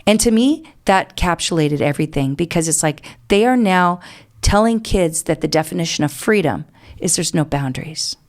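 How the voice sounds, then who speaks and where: A woman's voice: lowish voice, animated, moderate pace. A middle-aged American woman answering as an interview guest, explaining things earnestly in a conversational way.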